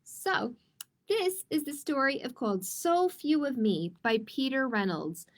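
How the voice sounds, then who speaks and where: High-pitched woman reading slowly to children. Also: animated. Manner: slow, deliberate, addressing young listeners directly. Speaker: children's librarian storytime host. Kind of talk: expressive picture book read-aloud for children.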